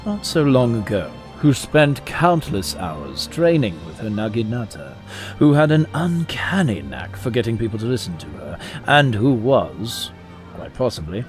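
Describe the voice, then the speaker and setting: A man playing a courteous, tactful royal advisor in an audio drama, with formal and measured phrasing. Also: medium pitch, a little mellow.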